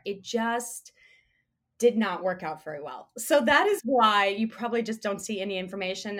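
A woman speaking as an interview guest, relaxed and chatty, with a hoarse voice. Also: medium pitch. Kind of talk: casual interview chat.

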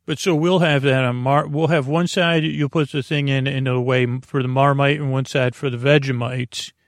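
A man hosting a bedtime podcast in a rambling, halting monologue, over-explaining and trailing off with a creaky, gravelly voice. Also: mid-range voice, steady tone, no room sound, studio quality. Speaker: podcast host.

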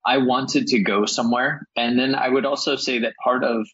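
A man in his forties from Texas, telling his life story in a relaxed, rambling, self-deprecating way as an interview guest. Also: medium pitch, moderate pace, slightly rough. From El Paso, Texas, USA. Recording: muffled lo-fi audio.

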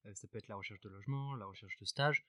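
A man's voice: on the low side, quick pace, sharp tone, dry recording, clean studio sound. A man hosting a podcast, asking loose follow-up questions in a casual way. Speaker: podcast host.

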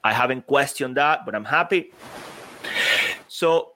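A man's voice: medium pitch, a little slow, dry recording. Man, native Spanish speaker, podcast guest, emphatic coach, mid-range voice. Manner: energetic, emphatic, self-interrupting. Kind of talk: podcast interview.

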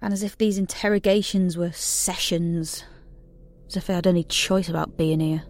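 A woman's voice: on the low side, steady tone, normal speed, a little mellow, no echo, lightly acted. Woman acting a wary, defiant young heroine. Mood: bitter, defiant. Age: young adult. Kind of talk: audio drama acting with intimate first-person narration.

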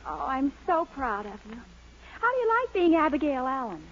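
Casting: admiring young sweetheart, high girlish voice, very animated intonation, old-time radio comedy. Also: normal speed. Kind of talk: 1940s radio sitcom acting. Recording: muffled, noisy old radio recording, faint audience.

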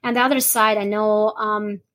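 A woman's voice: medium pitch, fairly even intonation, normal speed, a bit bright. A woman from Russia, answering interview questions in a rambling way and often adding "right?". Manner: rambling, frequent "right?" tags, light laughs. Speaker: guest, holistic nutritionist.

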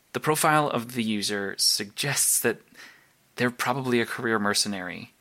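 An earnest actor's take on a male superhero detective from an audio drama. He speaks at a middle pitch in a halting, analytical manner, with nervous conviction. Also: fairly bright tone, lightly acted. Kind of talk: naturalistic audio drama monologue and phone calls.

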